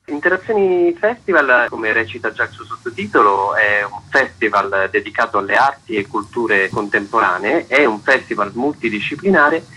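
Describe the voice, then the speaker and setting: A man's voice: mid-range voice, normal speed, few pauses, bright, forceful tone, breathy edge, low-quality recording. A man speaking as an interview guest, articulate and formal, in long winding sentences. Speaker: festival artistic director, interview guest. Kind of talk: prepared interview answers.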